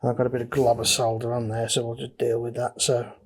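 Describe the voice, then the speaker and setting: A man's voice: medium pitch, little pitch movement, fast, husky. A man giving casual, matter-of-fact tutorial commentary, talking through each step as he works on electronics. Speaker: DIY electronics presenter. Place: indoor electronics workbench.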